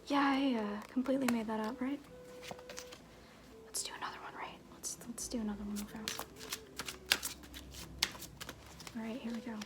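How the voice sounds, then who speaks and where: A woman playing a flirty, glib circus fool in an ASMR roleplay, half-whispering with halting, offhand, teasing asides. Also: a little high, steady tone, very noisy, close to an everyday voice. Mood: breezy, offhand. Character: flirty, glib circus fool and sham fortune-teller, playfully evasive.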